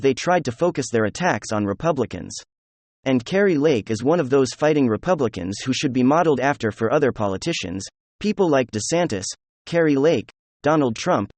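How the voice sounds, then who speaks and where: A man's voice: medium pitch, a little mellow, no echo. A man delivering opinionated news commentary in a sarcastic, mocking manner. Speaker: political commentator.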